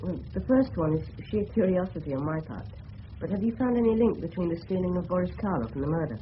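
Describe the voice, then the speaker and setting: Actor as polite English schoolboy, dull old recording. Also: female voice, on the low side, animated, quick pace, close to an everyday voice. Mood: curious. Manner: respectful, earnest, candid. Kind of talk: 1970s radio drama acting. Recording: dull, noisy old radio broadcast recording.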